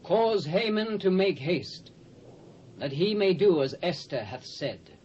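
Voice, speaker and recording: A man's voice: high-pitched, animated, slow, darkish tone. An actor playing a grand, imperious Persian king in a British accent, declaiming in a dramatised scripture recording. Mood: commanding. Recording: dull old cassette, faint hiss.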